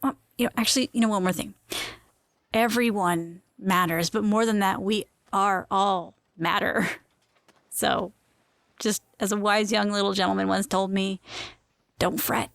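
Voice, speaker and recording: A woman's voice: medium pitch, steady tone, unhurried, creaky, long pauses, a bit bright, no room sound. A woman with a mid-range voice and a bubbly, rambling manner carries this scripted audio drama. The sound is dry and close, with no room around it. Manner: bubbly, chatty, rambling asides. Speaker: in-world radio host.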